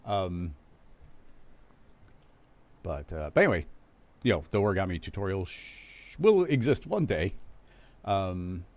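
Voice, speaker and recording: A man hosting a live stream, casually talking viewers through a software tutorial, rambling and correcting himself as he goes. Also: deep voice, big pitch swings, a little slow, long pauses, no room sound. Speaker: live stream host teaching 3D animation software.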